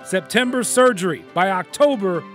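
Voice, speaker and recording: High-pitched male American sports anchor. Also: animated, moderate pace, soft background music. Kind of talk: scripted TV news feature narration.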